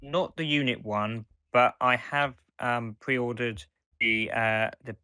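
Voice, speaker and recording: A man co-hosting a casual fan podcast, talking in a halting, hesitant way as he reviews. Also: medium pitch, steady tone, sharp tone, clear, resonant tone, dry recording, clean studio sound. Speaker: podcast co-host.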